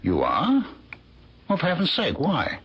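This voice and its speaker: Husky, very animated old professor. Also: male voice, on the high side, measured pace, heavily acted. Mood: surprised, puzzled. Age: older. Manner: avuncular, animated, exclamatory. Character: genial, inquisitive older male professor. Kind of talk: radio drama acting. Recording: muffled old radio drama recording.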